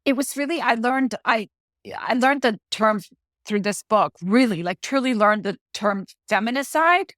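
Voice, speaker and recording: A woman's voice: fairly high pitch, lively intonation, normal speed, fairly bright tone, no room sound, studio quality. A middle-aged woman from Colombia, a guest author talking through her work in a reflective way, often restarting as she searches for words. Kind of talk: interview conversation.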